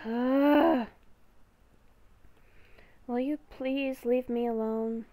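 A woman's voice: on the high side, fairly even intonation, very slow, dark tone, dry recording. A woman playing a stubborn, exasperated young former werewolf in an improvised game roleplay, whiny, emphatic and argumentative.

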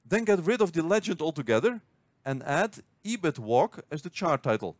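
A man narrating a scripted spreadsheet tutorial, explaining step by step in a clear, measured way. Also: fairly high pitch, big pitch swings, no echo. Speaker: finance tutorial host. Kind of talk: scripted screen-recording tutorial.

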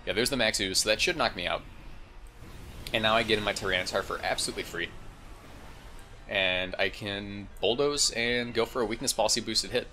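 A man with a fairly low but very bright voice gives casual game commentary, sounding a little congested. He thinks aloud in an informal way over game music. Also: brisk, pauses often. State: slightly congested. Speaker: gaming video host.

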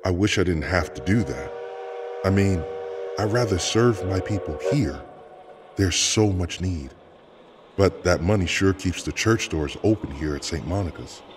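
Deep-voiced, weary devoted priest. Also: male voice, expressive, a little slow, background noise. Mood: earnest. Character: weary, devoted middle-aged priest, gentle loving son. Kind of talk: audio drama acting. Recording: loud music behind the voice.

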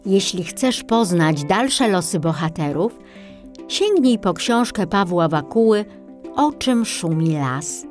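A woman narrating a children's audiobook in an expressive, scripted storybook style. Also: fairly low pitch, takes it slowly, soft background music.

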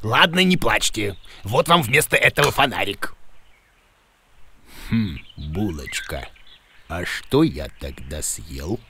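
A woman voicing a forgetful, blustering bearded cartoon creature, muddled and halting, with a gravelly voice, telling stories to children. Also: very deep voice, big pitch swings, full character voice. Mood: breezy. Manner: muddled, halting, grumbling, exclamatory. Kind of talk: children's cartoon acting, rhymed storytelling. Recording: loud music behind the voice.

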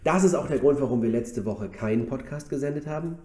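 A man co-hosting a casual podcast, slightly hoarse from a cold, joking his way through quick banter. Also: mid-range voice, warm tone, reverberant. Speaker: podcast co-host. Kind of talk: casual chat. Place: office room.

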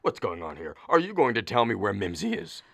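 A man's voice: lowish voice, huge pitch swings, a little fast, creaky, fairly bright tone, no room sound, clean studio sound, full character voice. A man playing an impatient, blustering middle-aged father of the bride in a radio play, demanding and money-minded. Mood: suspicious, exasperated.

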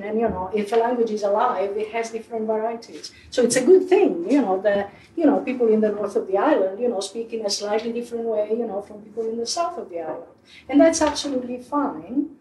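A woman's voice: a little high, a little fast. Woman answering questions in a hall. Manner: frequent "you know" fillers, explanatory. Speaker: linguistics professor giving a public talk. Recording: echoey room, voice from a distance.

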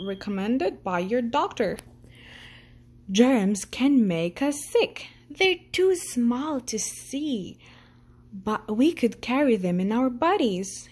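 A woman reading a children's picture book aloud in a gentle storytelling manner. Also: fairly high pitch, slightly rough. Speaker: storytime reader.

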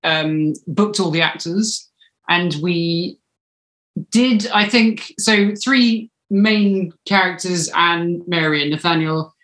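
A woman in her thirties giving a casual interview in a chatty, animated storytelling way. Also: lowish voice, slow pace. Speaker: podcast writer and director being interviewed. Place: small room at home, indoors. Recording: roomy, echoey home recording.

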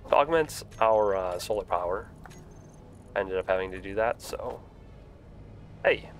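A man's voice: low voice, measured pace, a little hiss or hum. A young man with a stuffy voice from a cold, chatting casually with lots of fillers while live-streaming a building game. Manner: relaxed, rambling, frequent fillers.

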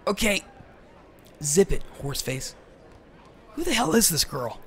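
Guy doing a high strung sweary school kid in a podcast drama, high voice w lots of fry, sardonic. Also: very animated, a little slow, a little hiss or hum. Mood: impatient. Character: high-strung, sarcastic, foul-mouthed teenage schoolboy. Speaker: voice actor in an audio drama. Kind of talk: comedic audio drama acting.

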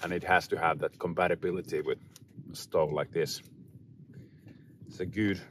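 A man in his thirties chatting casually and reflectively to camera, unhurried, speaking in short phrases with pauses. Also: sits low, fairly even intonation, noisy background. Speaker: outdoor vlogger. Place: inside a small tent and outdoors in snowy woods.

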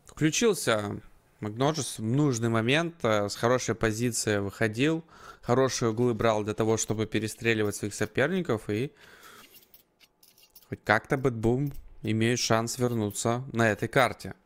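A man's voice: mid-range voice, normal speed. A man doing live esports commentary, analysing the play as he calls it.

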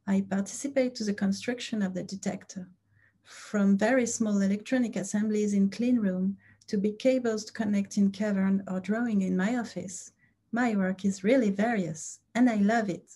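A woman's voice: medium pitch, steady tone, normal speed, warm tone, breathy edge. A woman technician from France earnestly introducing her work to camera. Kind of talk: read self-introduction.

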